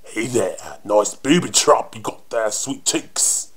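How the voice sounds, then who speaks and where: A man voicing a cocky, flirty undead punk rocker monster for a game, with a raspy British accent and cheeky taunting slang. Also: fairly low pitch, slow. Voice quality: raspy, husky. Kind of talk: video game character voice demo.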